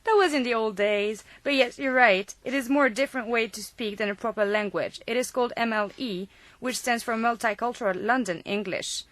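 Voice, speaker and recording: A woman's voice: fairly high pitch, a little fast, a bit bright, no room sound. A woman with French as her first language, presenting a student radio programme from a reading script.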